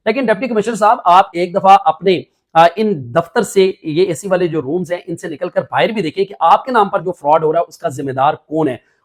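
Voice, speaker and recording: A Pakistani man reports the news in a somewhat high voice. His delivery is emphatic commentary, spoken off the cuff with recurring filler phrases.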